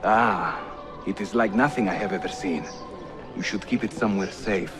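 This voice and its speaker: A man's voice: deep voice, moderate pace, close to an everyday voice. Actor as a genial, teasing Ottoman assassin. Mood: curious, friendly. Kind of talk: cinematic video game acting. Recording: music behind the voice.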